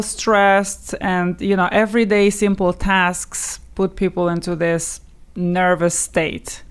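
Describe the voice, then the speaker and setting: A woman's voice: on the low side, clean tone. A woman with a Russian accent, a guest in an interview, explaining earnestly in short stacked phrases and laughing often. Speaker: guest, English teacher. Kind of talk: casual interview talk.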